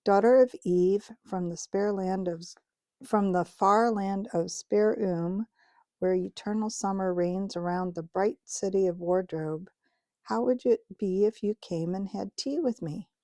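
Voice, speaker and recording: A woman's voice: medium pitch, measured pace, mellow, dry recording, close to an everyday voice. A woman reading aloud and voicing a polite, nervous male faun who talks eagerly and repeats himself.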